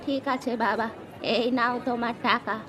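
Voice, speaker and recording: A woman voicing a gentle, thrifty old grandmother in a cartoon, speaking slowly and mildly. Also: on the high side, fairly even intonation, a faint crowd, a little hiss or hum. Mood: kind.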